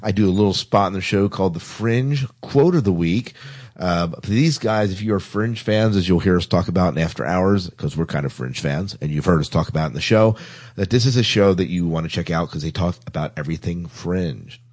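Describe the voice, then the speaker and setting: A man's voice: low voice, a little fast, a little mellow. A man hosting a podcast, chatting casually as he runs through show announcements. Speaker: podcast host.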